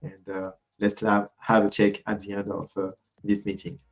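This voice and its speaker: A man moderating an online event over a video call, halting and filling pauses with many fillers. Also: fairly low pitch, completely flat delivery, low-quality recording. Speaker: host and moderator.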